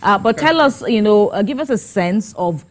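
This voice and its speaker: A woman with a Nigerian accent presenting live studio news and interviewing in a conversational, halting way. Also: medium pitch, lively intonation.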